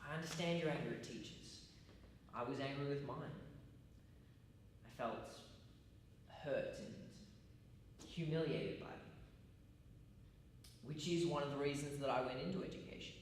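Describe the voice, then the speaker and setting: A man's voice: medium pitch, close to an everyday voice. Man acting earnest pedantic teacher, echoey hall. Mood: conciliatory. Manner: deliberate, pedantic, halting phrasing. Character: earnest, self-justifying male college teacher with formal speech. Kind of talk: stage monologue. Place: large echoey room at a college. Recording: echoey hall, distant mic, faint noise.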